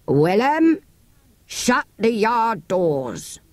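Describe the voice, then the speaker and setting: A woman voicing a gruff, mocking, bullying working-class English horse dealer in a storybook, drawing out his words. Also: a little high, sing-song, extremely slow, a little mellow, no echo, pitched above the performer's own voice, heavily acted. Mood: menacing.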